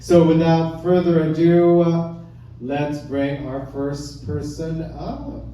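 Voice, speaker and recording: A man's voice: on the high side, dull audio. Man welcoming a crowd in an echoey room. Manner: warm, halting, many fillers. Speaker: event host. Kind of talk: welcoming remarks at an arts event. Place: small arts gallery, indoors. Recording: echoey room, mic from far off, noisy.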